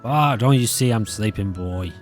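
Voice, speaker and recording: A man's voice: lowish voice, normal speed, soft background music, close to an everyday voice. A man voicing a grumpy, put-upon old pig from a cartoon story game. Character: grumpy old male pig napping in town.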